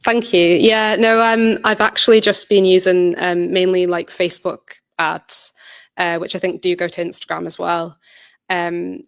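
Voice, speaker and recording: Low, even-toned woman answering informally. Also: unhurried, vocal fry, clear, resonant tone, no echo. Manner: hesitant, self-reflective, trailing qualifications. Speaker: audio drama writer and presenter. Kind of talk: informal presentation Q&A, conversational answers.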